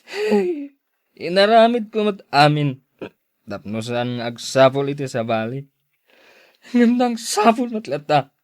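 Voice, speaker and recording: A man's voice: on the high side, wildly swooping pitch, slow pace, pauses often, dry recording, clean studio sound, heavily acted. An actor with a Filipino accent playing a lovesick, heartbroken man who drinks, with an emotional, pleading delivery in radio drama style. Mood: anguished.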